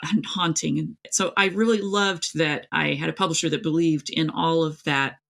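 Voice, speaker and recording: A woman's voice: low-pitched, steady tone, normal speed, gravelly, fairly bright tone. A middle-aged woman from East Tennessee, an author talking casually and emphatically as an interview guest, telling things in a rambling way. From East Tennessee, United States. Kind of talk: casual, chatty interview talk.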